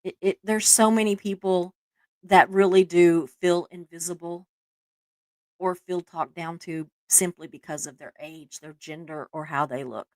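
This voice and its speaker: A woman's voice: lowish voice, fairly even intonation, measured pace, darkish tone, dry recording. A middle-aged woman with a Southern US accent, hosting a live stream in casual, self-deprecating chat, laughing often and using many fillers.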